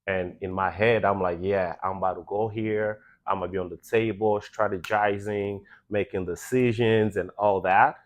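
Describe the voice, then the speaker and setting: A young man from Belgium, a guest on a podcast, telling stories in a relaxed, animated way and acting out the conversations he quotes. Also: on the low side, normal speed, few pauses. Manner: animated, acts out quoted exchanges. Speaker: podcast guest, nightlife VIP host. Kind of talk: casual interview storytelling.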